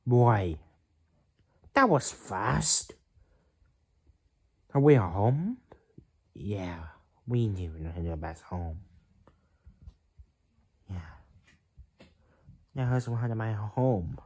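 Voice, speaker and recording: An amateur voice actor with a husky, breathy voice. The delivery is slow and halting, with drawn-out words and a pitch that moves around a lot. It sounds like acted lines spoken at home in a small bedroom. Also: animated, a little mellow.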